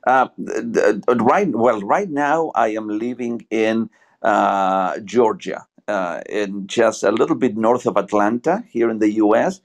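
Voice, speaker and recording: Polite Argentine man, halting speech. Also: lowish voice. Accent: Argentine Spanish. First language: Spanish. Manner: halting, many fillers and restarts, polite. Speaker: podcast guest. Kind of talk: casual conversation.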